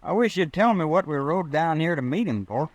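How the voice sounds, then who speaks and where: A man's voice: fairly high pitch, animated, fairly quick, natural voice. A man playing a naive, anxious young cowboy sidekick with a folksy, questioning drawl in an old-time radio western. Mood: puzzled.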